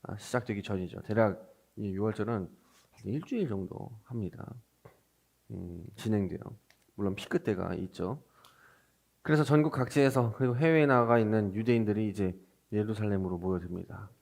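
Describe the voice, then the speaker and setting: A man speaks as a pastor in a Sunday service. His voice sits a little low. He explains the scripture conversationally, turning to the congregation with questions as he teaches. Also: normal speed, gravelly, a little mellow. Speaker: pastor preaching. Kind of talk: Sunday sermon with scripture reading.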